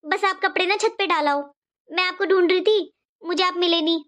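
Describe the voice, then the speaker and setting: A performer voicing a gentle, apologetic, unwell young pregnant woman in a cartoon moral story, speaking meekly. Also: very high voice, fairly even intonation, moderate pace. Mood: meek, uneasy. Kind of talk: animated moral story dialogue.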